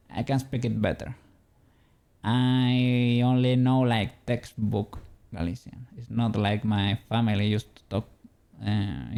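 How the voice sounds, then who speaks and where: A man's voice: mid-range voice, creaky, darkish tone, clear, resonant tone. A man from Spain with a Spanish accent, chatting casually with viewers while he works on a 3D model during a stream. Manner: rambling, answers chat, chuckles often.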